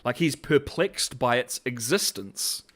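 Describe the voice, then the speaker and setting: A young man hosting a casual livestream, talking in a loose, rambling way. He fills his sentences with "like" and "uh" and breaks into laughter often. His voice sits at an ordinary middle pitch for a man. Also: measured pace. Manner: animated, laughs often, filler-heavy rambling. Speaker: host.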